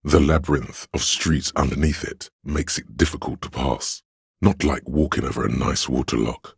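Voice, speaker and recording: Super deep husky know-it-all encyclopedia voice for a game, grave, detached, measured. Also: male voice, no echo, clean studio sound, full character voice. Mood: learned, detached. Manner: measured, erudite, deliberate. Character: inner encyclopedia voice, grave, learned, detached male narrator of facts. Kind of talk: scripted game narration.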